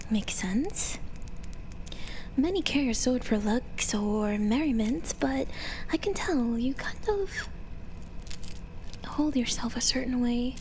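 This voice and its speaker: A woman voicing a gentle, teasing, enigmatic forest witch healer in an ASMR roleplay, murmuring with pauses in a breathy whisper. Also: on the high side, slight background noise. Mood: curious, hushed. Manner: slow, gentle, pausing murmurs.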